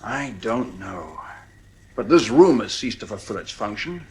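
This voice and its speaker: An actor playing a blunt, uneasy psychiatrist and family friend in a 1950s radio drama, measured and authoritative. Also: male voice, lowish voice, sing-song, a little mellow, slight background noise. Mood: blunt, admonishing.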